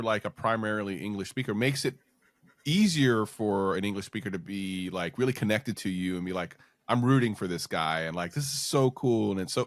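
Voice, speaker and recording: A man hosting a podcast, chatting casually and talkatively as he interviews a guest, with compliments and gentle teasing. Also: on the low side, no echo. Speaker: podcast host.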